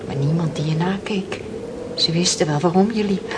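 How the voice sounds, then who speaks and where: A woman's voice: lowish voice, moderate pace, lightly acted. An actress playing a sorrowful, twice-widowed fisherman's wife in a radio drama, telling her story in plain, emotional words. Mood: anguished. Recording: noisy old radio play recording.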